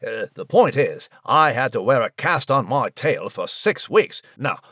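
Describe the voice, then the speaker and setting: An actor playing a small, plucky gentleman mouse with a British accent, speaking in a proper, fussy, cheery way for a comic audio drama. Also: mid-range voice, big pitch swings, measured pace, no echo, studio quality. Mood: proper, earnest. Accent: British English.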